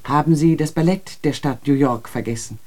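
An actress as a sceptical female journalist argues in a literary radio play. Her voice is dark and mellow, her delivery articulate and laced with irony. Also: low-pitched, normal speed, close to an everyday voice. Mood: sarcastic. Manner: ironic, articulate. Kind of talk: literary radio drama dialogue.

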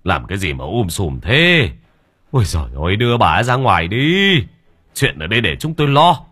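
A man's voice: lowish voice, big pitch swings, normal speed, sharp tone, husky, heavily acted. A man voicing an angry older villager scolding a neighbor about a faulty coffin.

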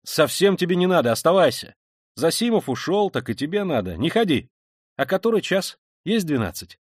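Man acting an agitated, irritable young man, abrupt and forceful. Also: mid-range voice, expressive, husky, dry recording, clean studio sound. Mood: insistent. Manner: abrupt bursts of hurried, forced liveliness.

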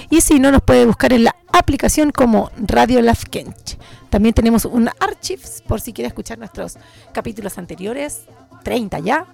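A woman's voice: a little high, gravelly, a little mellow. A woman hosting a community radio show, announcing in an upbeat tone. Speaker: radio host.

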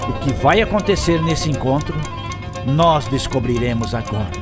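A man narrates a radio drama. His voice has a middling pitch but moves widely up and down in an expressive, storytelling way. Loud music sits under him. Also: normal speed.

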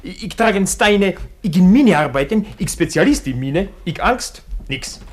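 An actor playing an eager, excitable Sicilian labourer with an Italian accent, effusive and halting in broken German, in a radio play. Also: male voice, high-pitched.

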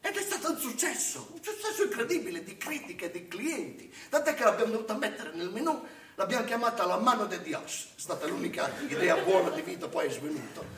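An actor playing a sardonic, boastful restaurant chef in a comic theatre monologue, talking colloquially and digressing, full of rhetorical check-ins. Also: male voice, high voice, big pitch swings, fairly quick, a bit bright, heavily acted. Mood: excited, exclamatory. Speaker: stage actor in a satirical monologue. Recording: distant roomy live recording, faint noise.

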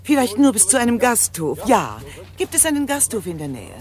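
A woman's voice: on the high side, very animated, brisk, a little mellow. A woman playing an imperious, agitated noblewoman in a German radio drama, speaking theatrically and formally with urgency. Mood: distressed.

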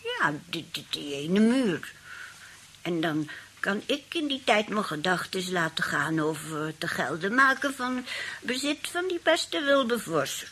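An actress playing a sly, genteel old aunt who rambles politely and feigns helplessness in a radio play. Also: female voice, mid-range voice, big pitch swings, measured pace, a bit bright, slightly rough, full character voice. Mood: sweetly coaxing.

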